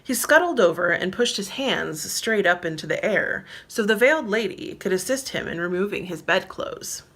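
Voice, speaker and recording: A woman's voice: mid-range voice, lively intonation, normal speed, a bit bright, husky. A woman reading her own novel aloud as narrator, in scripted storybook style.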